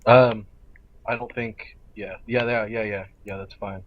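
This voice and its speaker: A man's voice: lowish voice, fairly even intonation, unhurried. A man in a role-play game, casually offering suggestions to the other players. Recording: slightly dull voice-chat audio.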